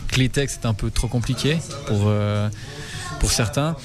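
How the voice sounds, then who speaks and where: A man, the band's lyricist, answering interview questions with many halting restarts. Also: lowish voice, music in the background. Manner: hesitant, many stammered restarts. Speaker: rock band lyricist.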